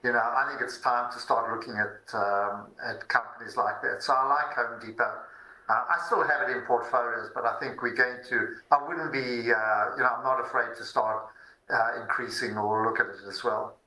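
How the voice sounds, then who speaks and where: A man with a very bright voice gives confident, conversational market commentary. He sounds like he is in an echoey room. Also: mid-range voice, steady tone, normal speed. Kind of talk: business TV commentary.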